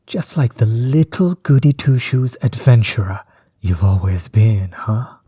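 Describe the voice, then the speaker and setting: A man playing a smug, bitter villain overlord in a roleplay audio drama, mocking and condescending, with a husky, breathy voice. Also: medium pitch, animated, mellow, dry recording. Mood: mocking, probing. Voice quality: husky, breathy, often dropping toward a whisper. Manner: mocking, condescending, drawn-out theatrical delivery. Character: smug, bitter male villain overlord, grudge against old companion. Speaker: voice actor.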